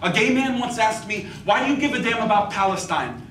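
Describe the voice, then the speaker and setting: A man's voice: high-pitched, animated, a little fast, a bit bright. A young man in his twenties performing spoken word poetry live at a college event, with a passionate, rhythmic delivery. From United States. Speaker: poet. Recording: echoey hall, distant mic, faint hiss.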